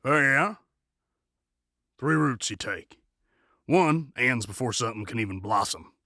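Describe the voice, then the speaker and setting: A man playing a quiet, world-weary western cynic in an audio drama, terse and sardonic. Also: medium pitch, lively intonation, measured pace, no echo, studio quality. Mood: jaded.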